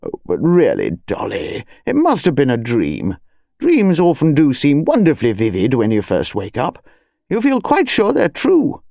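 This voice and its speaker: A middle-aged English colonel in a mystery audiobook, as a woman narrator puts him on. He is drowsy and kindly patronising, brushing things off in a mellow, muttering way. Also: very deep voice, wildly swooping pitch, moderate pace, vocal fry, no room sound, clean studio sound, exaggerated voice. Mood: kindly dismissive.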